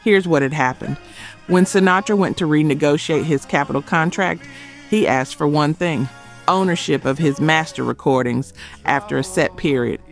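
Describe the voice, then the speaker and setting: A man delivering scripted documentary narration in emphatic short phrases with dramatic pauses. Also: fairly high pitch, vocal fry. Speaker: narrator of a music history video. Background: background music.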